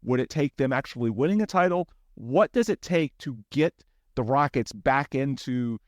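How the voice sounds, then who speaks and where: A man's voice: medium pitch, animated, moderate pace, no room sound. A man hosting a sports podcast, asking long, winding questions full of asides. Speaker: podcast host.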